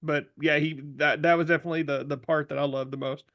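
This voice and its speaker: A man with a creaky, gravelly voice, set a little high, chats casually as a podcast co-host. The recording is dry. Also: little pitch movement, quick pace, fairly bright tone, no echo. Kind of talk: casual chat.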